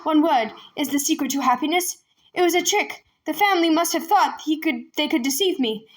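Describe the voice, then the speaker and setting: A woman's voice: high-pitched, brisk, close to an everyday voice, low-quality recording. A woman voicing an enraged, imperious male magistrate in a storybook, with snarling, demanding outbursts. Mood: scornful, commanding.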